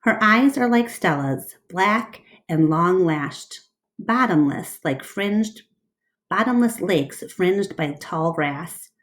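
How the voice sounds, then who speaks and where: Woman, storybook reader, slightly low voice, very animated intonation, deliberate storytelling. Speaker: reader of a children's book. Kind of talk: storybook read-aloud.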